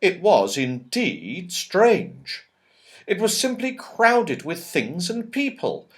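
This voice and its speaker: Slow, lively male storybook reader. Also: sits high. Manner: lively, expressive reading with character voices. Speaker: audiobook reader. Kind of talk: children's storybook narration.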